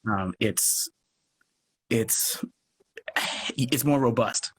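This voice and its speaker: A man talking earnestly in a casual online interview, haltingly as he thinks aloud. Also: medium pitch, breathy edge. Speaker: podcast producer and guest. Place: live social media video call.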